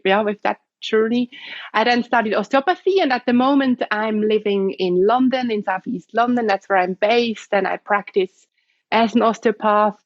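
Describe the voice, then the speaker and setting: A woman's voice: fairly high pitch, no room sound, muffled recording. A woman in her thirties from Switzerland with a strong Swiss German accent, a guest chatting in a bubbly way and laughing often. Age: 30s. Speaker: guest, osteopath. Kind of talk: casual interview chat.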